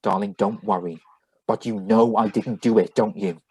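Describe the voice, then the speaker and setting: Man acting husky, earnest, worried husband. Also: on the low side, fairly even intonation, normal speed, darkish tone, dry recording. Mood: tender. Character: Bill, earnest worried husband wrongly arrested for murder. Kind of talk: live reading of a 1940s radio thriller.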